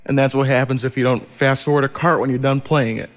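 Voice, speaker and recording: A man's voice: mid-range voice, steady tone, quick pace, dull audio. Sleepy young man DJing college radio. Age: young adult. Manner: sleepy, hesitant, rambling. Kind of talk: casual radio DJ chat between songs. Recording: over-the-air radio, faint music.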